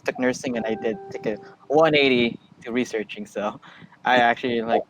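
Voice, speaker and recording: A man's voice: mid-range voice, steady tone, moderate pace, background noise. A man co-hosting an online interview, chatty and casual. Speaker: co-host and producer. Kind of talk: casual chat.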